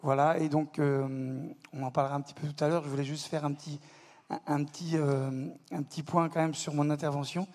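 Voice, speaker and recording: Halting man with flat, higher voice. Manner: halting, many repeated words and fillers. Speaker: delegate of a mutual-aid network, panel speaker. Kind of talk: conference panel talk. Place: conference hall.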